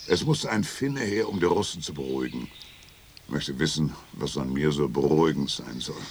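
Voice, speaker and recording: An actor playing a grumpy, sardonic retired Finnish statesman of sixty-five in a radio play, gravelly and gruff. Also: very deep voice, expressive, moderate pace, darkish tone, full character voice. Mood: sardonic, lecturing. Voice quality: creaky, gravelly. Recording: faint outdoor background noise.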